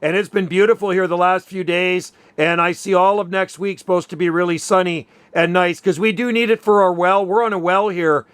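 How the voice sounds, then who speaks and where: High-pitched, enthusiastic man. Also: steady tone, fairly quick, hardly any pauses, a bit bright, clean tone, no echo. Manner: enthusiastic, informal. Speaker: radio hobbyist filming his contact. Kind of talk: casual radio chat. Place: home radio room.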